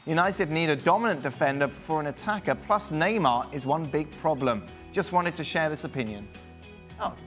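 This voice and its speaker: A man's voice: on the high side, lively intonation, fairly bright tone, soft background music. A man hosting a football panel show, reading fan questions and teasing his guests. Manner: teasing, brisk, reads out fan messages. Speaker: show host.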